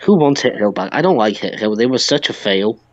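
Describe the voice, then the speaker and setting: A man's voice: lowish voice, lively intonation, brisk, no room sound. A man co-hosting a podcast, chatting casually about wrestling. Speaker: podcast co-host.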